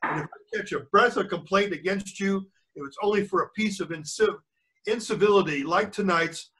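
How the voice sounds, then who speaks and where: A man voicing a calm, unafraid country doctor and magistrate from a storybook, speaking firmly and formally. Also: high voice, low-quality recording. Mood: stern warning. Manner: firm, formal, measured.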